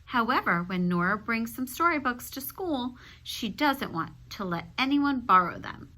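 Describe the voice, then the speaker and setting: A woman teacher reading a children's book aloud, pausing to explain simply and check in with her young listeners. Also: on the high side, animated, pushed and bright, a little hiss or hum. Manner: instructive, addressing young children with check-in questions.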